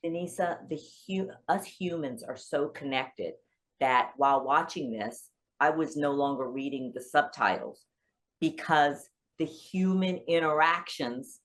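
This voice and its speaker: Low-voiced animated woman guest. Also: a little slow. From rural South Carolina. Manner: animated storyteller, pitch jumps high when excited. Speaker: family law attorney, guest. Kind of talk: storytelling in casual interview.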